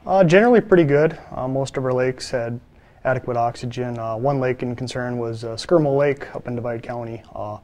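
A man's voice: medium pitch, fairly quick, a little mellow. A man in his thirties, a fisheries biologist, answering interview questions plainly with many filler uhs. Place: studio, seated.

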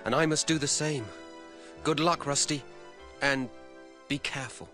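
Young game wizard, earnest, polite, measured, reverberant, soft music behind. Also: male voice, on the high side, steady tone. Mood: calm, kind. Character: young weaver boy, gentle, earnest wizard. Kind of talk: 1990s adventure game voice acting. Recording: long reverb on voice.

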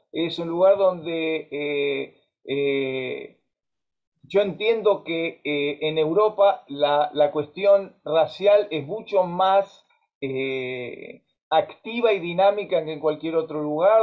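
Animated Argentine man on a video call. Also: high voice. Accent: Argentine Spanish. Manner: animated, storytelling, frequent fillers and restarts. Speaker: musician and host. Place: home music room. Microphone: webcam on a video call. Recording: dull lo-fi video-call audio.

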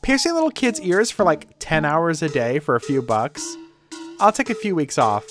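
A woman's voice: low voice, big pitch swings, normal speed, over music. A woman voicing an awkward but boastful young goblin shop worker in a fantasy audio comedy, with an emphatic delivery. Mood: eager, brash.

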